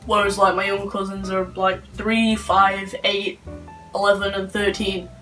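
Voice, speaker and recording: A young man, giving calm, earnest answers as an interview guest. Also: high voice, unhurried, far from the mic, faint music behind, poor audio. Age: late teens.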